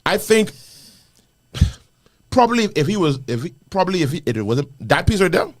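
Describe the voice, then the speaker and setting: A Bahamian man co-hosting a radio panel talk show, giving animated opinions with many restarts. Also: high-pitched, brisk, dry recording. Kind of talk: casual radio panel discussion.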